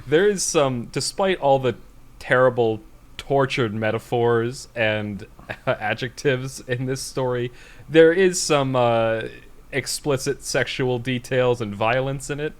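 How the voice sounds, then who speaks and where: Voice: medium pitch, slow. A podcast co-host who chats casually and reads aloud from a story, in a giggly manner prone to breaking into laughter. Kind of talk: casual banter and reading a bad story aloud.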